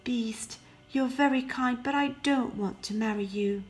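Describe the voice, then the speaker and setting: A woman's voice: on the high side, a little slow, faint music behind, pitched above the performer's own voice, lightly acted. A woman voicing a kind-hearted, earnest young fairy-tale heroine with sincere, breathless exclamations. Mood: flustered, apologetic.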